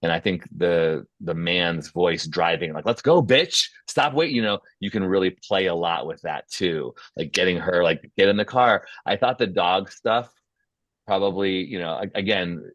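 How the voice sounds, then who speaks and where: Echoey, low-voiced man moderating a feedback session. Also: moderate pace, a bit bright. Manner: encouraging, informal, conversational. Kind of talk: casual facilitation and critique. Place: online video call. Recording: echoey, dull video-call audio.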